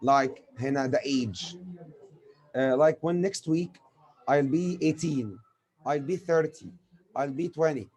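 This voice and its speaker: Mellow-voiced Egyptian man teaching English. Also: a little high, a little slow, pauses often. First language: Arabic. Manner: didactic, asks and answers own questions. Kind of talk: spoken lesson explaining with examples.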